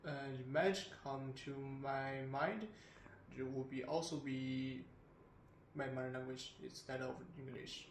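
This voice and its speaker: Man, hesitant answers, flat tone, echoey room, faint noise. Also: medium pitch, a little slow. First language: Chinese. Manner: hesitant, many fillers, flat delivery. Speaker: interviewee. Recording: roomy indoor echo, faint hum.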